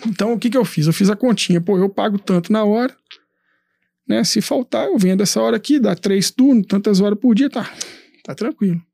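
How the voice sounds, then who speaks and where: A man telling his business story in a casual interview, animated, swearing and acting out his past thoughts. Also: sits high, brisk, creaky, no echo, clean studio sound. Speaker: entrepreneur guest.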